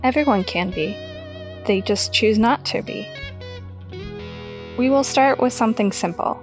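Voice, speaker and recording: A woman's voice: a little high, unhurried, soft background music, close to an everyday voice. A woman playing a bossy, dryly teasing teenage girl in an audio drama. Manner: dry, teasing, directive.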